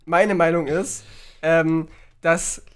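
A man's voice: a little high, steady tone, slow, smooth. A man co-hosting a podcast in casual talk, chatty and rambling with fillers. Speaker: podcast co-host.

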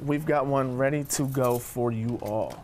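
A middle-aged man, a chef guest, explaining a recipe step by step in a relaxed way on a TV studio kitchen set. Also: mid-range voice, a little slow, heavy background noise. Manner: relaxed, explains steps plainly. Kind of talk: casual cooking demo on daytime TV.

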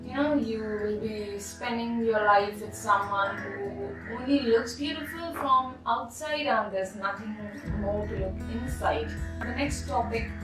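A woman's voice: a little high, a little slow, few pauses. A young woman vlogger speaking earnestly and emphatically to camera as she reads aloud and comments on a book. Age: young adult. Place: bedroom, indoors. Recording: echoey room, distant mic, background music. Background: music.

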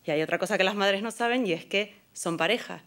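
A woman pitching a film story at a podium microphone, addressing an audience as she tells it. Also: quick pace, a bit bright. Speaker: filmmaker pitching a project.

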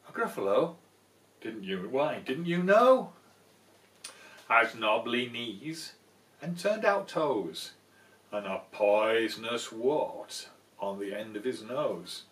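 A man's voice: medium pitch, sing-song, slow pace, pauses often, fairly bright tone, recorded from a distance. A man voicing a small, polite storybook mouse who boasts and teases with emphatic delivery. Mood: ominous boasting.

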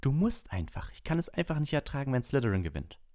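A man voicing a fierce, earnest, highly strung schoolgirl in a storybook, with a shrill, agitated manner. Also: very animated, quick pace, warm tone, no room sound, studio quality, lightly acted. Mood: urgent.

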